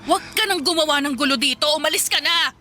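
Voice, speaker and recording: Bright-voiced, weary Filipina girlfriend. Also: high-pitched, fast talker, soft background music. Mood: exasperated, firm. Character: weary, evasive young woman pulling away from her boyfriend. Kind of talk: Filipino radio drama acting.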